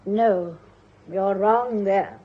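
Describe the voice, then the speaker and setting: An actress playing an imperious, composed old Victorian matriarch in a British radio drama, husky and precise in a formal, commanding way. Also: female voice, mid-range voice, extremely slow. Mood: imperious, firm. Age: older. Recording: dull, muffled radio drama audio.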